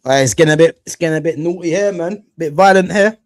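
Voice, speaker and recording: A man's voice: a little high, fast, darkish tone. A young man from England chatting casually with viewers while watching football live, slangy and full of restarts. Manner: chatty, slangy, talks to chat, restarts often. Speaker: live watch-along streamer.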